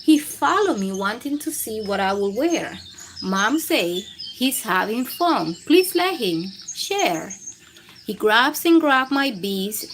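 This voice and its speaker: A woman's voice: a little high, a bit bright. A woman hosts a read-aloud for young children. Her delivery swings up and down expressively and takes its time. There is noticeable background noise with chirping. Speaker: children's storytime host and reader.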